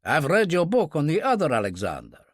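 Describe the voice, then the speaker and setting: A man voicing a suave Russian gentleman with a slight Russian accent, courtly and ironic, in an audiobook story. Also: wildly swooping pitch, fairly quick, no room sound, clean studio sound. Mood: charming. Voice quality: pleasantly deep. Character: suave Russian man, charming, lazily insolent, self-mocking.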